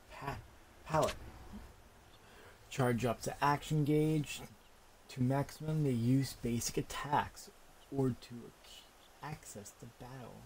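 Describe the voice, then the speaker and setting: A man's voice: mid-range voice, gravelly, darkish tone, a little hiss or hum. A man giving casual, impatient commentary as he plays a video game, muttering and swearing. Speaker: gaming video creator.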